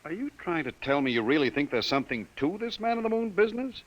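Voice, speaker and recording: A man's voice: fairly high pitch, sing-song, fast talker, lo-fi sound. An actor playing a brusque, dismissive male bureau chief who gives orders, in a 1950s radio drama. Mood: impatient.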